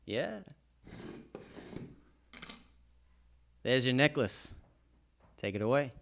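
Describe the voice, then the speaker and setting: An actor playing a gruff, skeptical police detective in a radio play, speaking in terse, knowing phrases. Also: male voice, medium pitch, very animated, unhurried, fairly bright tone, slight background noise, heavily acted. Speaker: actor in live radio theatre. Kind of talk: 1940s radio drama acting. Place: on stage at a live theatre event.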